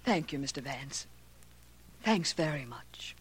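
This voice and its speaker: An actress playing a distressed woman doctor, breathy and emotional, in a 1940s radio mystery. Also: deep voice, expressive, takes it slowly, full character voice. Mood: shaken, grateful. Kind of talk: 1940s radio drama acting. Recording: noisy vintage 1940s radio recording.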